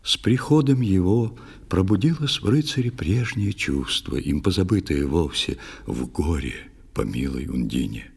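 A man solemnly reciting a poetic tale as narrator of an audio play, measured and expressive. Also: sits low, creaky, breathy edge. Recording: long hall reverb, music behind.

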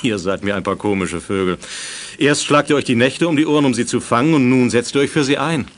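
A man's voice: medium pitch, a little fast, lightly acted. A man playing a stern but fair middle-aged director of a youth village in a children's radio drama, speaking formally and with authority. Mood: wry, firm. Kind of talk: 1980s German children's radio drama acting.

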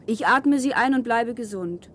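A woman's voice: on the high side, expressive, normal speed, fairly bright tone, a little hiss or hum. An actress playing a curious, distrustful fifteen-year-old girl in a science-fiction radio drama, speaking in a direct, probing, naive way.

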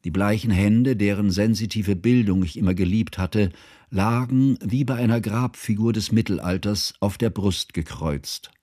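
Actor as deep-voiced, solemn grieving scholar. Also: male voice, mellow, dry recording, clean studio sound, lightly acted. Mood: mournful, reflective. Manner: solemn, measured, elevated diction. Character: grieving, learned German man narrating his friend's death. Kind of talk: literary radio drama narration.

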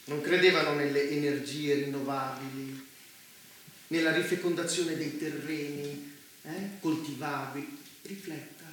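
An actor playing a cynical, menacing warmongering leader in a stage drama, provocative and philosophizing in manner. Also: male voice, fairly high pitch, steady tone, measured pace. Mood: musing, provocative. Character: cynical, menacing male leader who unleashed a war. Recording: very echoey room, faint noise.

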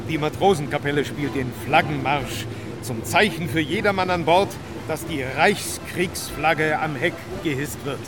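A man's voice: on the high side, close to an everyday voice. A man playing a florid, reverent chronicler, narrating in a grand literary manner for a radio play. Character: florid, reverent male chronicler of an imperial voyage. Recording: loud music behind.

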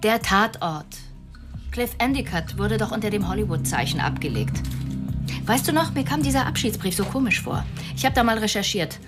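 An actress playing a sharp police detective in a German radio crime drama, dry, matter-of-fact and sardonic. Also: female voice, mid-range voice, lively intonation, moderate pace, music in the background, lightly acted. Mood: brisk, pondering.

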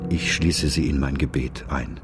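A solemn, pious man reads his letters in a radio play. His voice is very low and his delivery very slow, with music clearly behind him. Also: fairly even intonation. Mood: solemn, admonishing. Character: solemn, pious male letter writer, penitent and admonishing.

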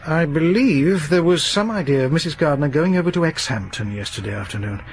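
An actor playing a polite, measured police detective in a radio drama, asking careful questions. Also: male voice, on the high side, animated. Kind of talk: 1980s BBC radio drama acting.

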